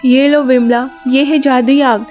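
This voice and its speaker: A woman's voice: high voice, fairly even intonation, measured pace, darkish tone, soft background music. Lady doing a wicked witch for a cartoon fairy tale, boastful n scolding, pompous chanting. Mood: scornful.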